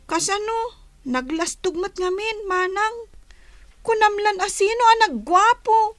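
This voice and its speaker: A woman's voice: very high-pitched, measured pace, fairly bright tone, no echo. A woman playing a young schoolgirl, pleading and feisty, in a radio drama. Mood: matter-of-fact.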